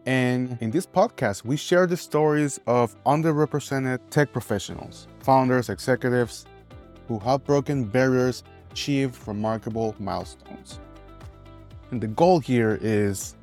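A man's voice: on the low side, a little mellow. A man hosting a podcast, talking earnestly in short phrases with some restarts. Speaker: podcast host. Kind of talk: podcast intro and casual interview.

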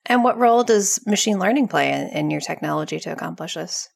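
A woman hosting a podcast, reading a scripted introduction and asking her guest questions in a measured way. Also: fairly low pitch, animated, creaky, slightly rough. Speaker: podcast host.

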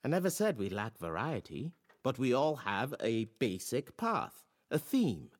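An actor playing a forceful philosophy professor in an audio drama, lecturing in an emphatic, theatrical and blunt manner. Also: medium pitch, sing-song, unhurried. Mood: blunt, pushing.

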